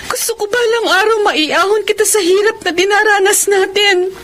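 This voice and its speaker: A woman's voice: extremely high pitch, moderate pace, fairly bright tone, soft background music. A woman acting a devoted, self-sacrificing young working student and elder sister in a radio drama, speaking earnestly and emotionally. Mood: tearful, pleading. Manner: earnest, emotional, pleading delivery.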